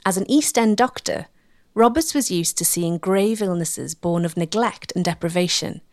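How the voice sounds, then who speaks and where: A woman delivering scripted documentary narration in a measured, rhetorical manner with emphatic phrasing. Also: fairly low pitch, dry recording, clean studio sound.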